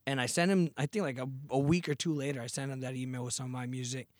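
A man in his thirties, a podcast guest and singer, telling stories in a relaxed, slangy way. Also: mid-range voice, quick pace, no echo, studio quality. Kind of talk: casual interview chat.

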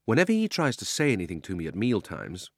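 A man's voice: fairly low pitch, huge pitch swings, fast talker, dry recording, studio quality, exaggerated voice. A man voicing an upset, defiant young country schoolboy with a British accent in a storybook reading, speaking emphatically. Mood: secretive, gloomy. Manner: indignant, emphatic, old-fashioned British schoolboy talk.

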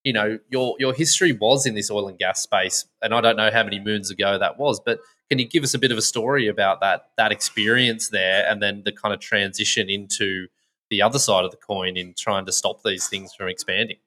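A man hosting a podcast, asking questions in a relaxed, chatty way with plenty of fillers. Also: fairly low pitch, brisk. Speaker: podcast host. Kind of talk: casual podcast interview.

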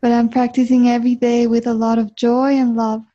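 A woman interpreting a guest's words in short consecutive chunks, with an even, plain delivery. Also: fairly high pitch, moderate pace. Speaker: interpreter into English. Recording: muffled, dull sound.

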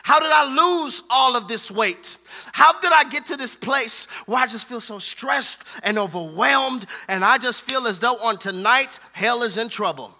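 American man, very high-pitched voice, piercingly bright and forceful, fervent preacher, emphatic repetition. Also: measured pace. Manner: emphatic, repetitive rhetorical delivery. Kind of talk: fervent sermon.

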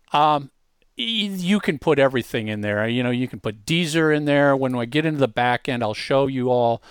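A man's voice: mid-range voice, smooth, dry recording, clean studio sound. A man hosting a casual screen-share tutorial, explaining things informally step by step. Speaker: host demonstrating a music marketing tool.

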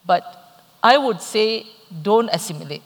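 A woman's voice: medium pitch, lively intonation, a little slow. Woman, Malaysian accent, middle-aged, candid panel talk, playful asides, long hall reverb. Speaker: panel speaker. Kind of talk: live panel discussion. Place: conference hall, on stage.

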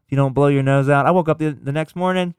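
A man's voice: on the high side, fairly even intonation, quick pace, a little mellow, clean tone, no echo, studio quality. A young man chatting casually on a podcast, telling loose stories, laughing easily and swearing a lot. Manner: loose, laughing storyteller who swears often. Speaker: podcast co-host.

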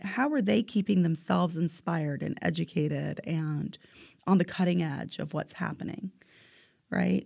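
A woman's voice: lowish voice, creaky, a little mellow, dry recording, studio quality. A woman in her forties talking emphatically in a casual health chat, often tagging her points with "right?". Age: 40s. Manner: emphatic, keeps asking "right?".

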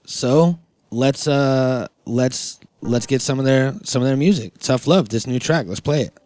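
A man's voice: mid-range voice, a little slow, a little mellow, no room sound. A man hosting a podcast in casual, informal, slangy chat. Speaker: podcast host.

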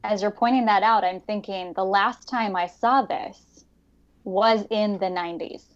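A woman co-hosting a podcast jumps in with quick, eager remarks. Her voice sits at a middle pitch, and the recording sounds dull and lo-fi. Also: steady tone. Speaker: podcast co-host. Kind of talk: casual chat.

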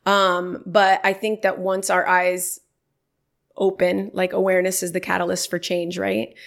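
A woman's voice: fairly low pitch, completely flat delivery, unhurried, vocal fry. A woman in her thirties, telling stories in a casual interview and livening them up when quoting people. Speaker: podcast guest.

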